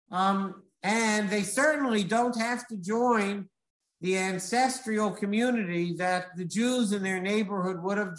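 Man, high voice, rabbi lecturing, measured and emphatic, echoey room. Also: a bit bright. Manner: measured, explanatory, with emphatic repetition.

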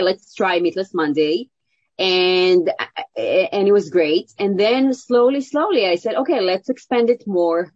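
Guest chef, woman, lowish voice, English speaker, eager and rambling, dry and lo-fi recording. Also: dry recording. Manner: warm, enthusiastic storytelling with fillers. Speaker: guest, celebrity chef and cookbook author. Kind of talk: interview answers. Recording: dull, dry audio.

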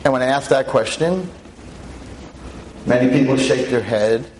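A veteran teacher in his sixties lecturing an audience on a microphone, with a loud voice, emphatic and full of rhetorical questions. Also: medium pitch, measured pace, slight background noise, rough recording. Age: 60s. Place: talk in front of an audience. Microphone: handheld or stand mic.

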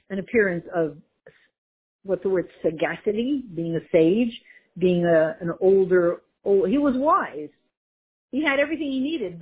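A woman's voice: medium pitch, slight background noise. Woman teaching a Torah class over a phone line. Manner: lively, mixes Hebrew and Yiddish terms, searches for words. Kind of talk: Torah class explaining a text.

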